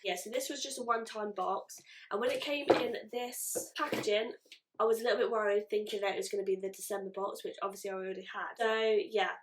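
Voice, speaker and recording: A woman's voice: mid-range voice, fairly even intonation, quick pace, heavy background noise. A woman chatting casually through a beauty unboxing, sounding nasal from a cold. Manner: chatty, rambling, self-correcting. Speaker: beauty vlogger.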